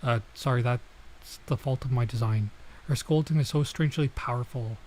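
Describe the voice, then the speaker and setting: A man's voice: mid-range voice, moderate pace, a little mellow. A man doing a let's play, reading visual novel text aloud and adding casual, off-the-cuff comments, sometimes stumbling over lines.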